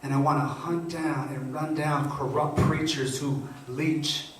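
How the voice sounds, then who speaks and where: A man's voice: a little high, steady tone, unhurried. Very echoey, distant male spoken word poet. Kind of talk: live spoken word performance. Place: live poetry gathering, recorded from afar. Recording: very echoey, distant, faint noise.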